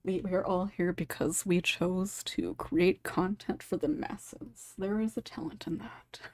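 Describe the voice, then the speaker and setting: A woman's voice: lowish voice, measured pace, no room sound. Woman hosting a podcast, dry close sound. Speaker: podcast host and moderator. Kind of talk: casual panel discussion hosting.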